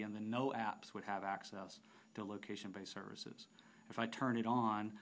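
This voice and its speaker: A man narrating an unscripted software demonstration, explaining step by step with halting phrasing and repeated words. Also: fairly low pitch. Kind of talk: unscripted app tutorial narration.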